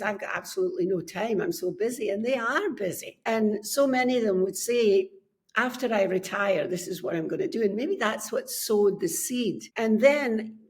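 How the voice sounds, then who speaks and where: An older woman with a Scottish accent, a book coach and podcast guest, telling her story in a measured, friendly way on a remote call. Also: mid-range voice, a little mellow. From Scotland. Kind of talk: casual interview chat. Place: home, on a remote call from abroad.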